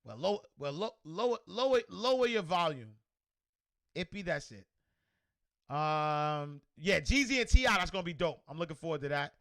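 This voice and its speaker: A man with a New York accent hosting a livestream, loudly and energetically answering viewer comments in casual chat. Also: a little high, normal speed. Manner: loud and energetic, reads comments aloud. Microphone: desk microphone.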